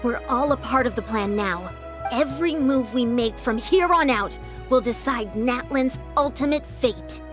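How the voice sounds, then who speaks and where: Earnest, high-voiced young warrior. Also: female voice, lively intonation, measured pace, music in the background. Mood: determined. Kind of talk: video game voice acting.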